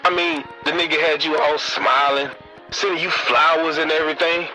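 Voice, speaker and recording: Man acting blunt, bright-voiced jealous ex-boyfriend. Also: a little high, little pitch movement, normal speed, soft background music. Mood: guarded, irritated. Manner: blunt, slangy, curt. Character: Corey, jealous, guarded young ex-boyfriend. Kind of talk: audio drama dialogue.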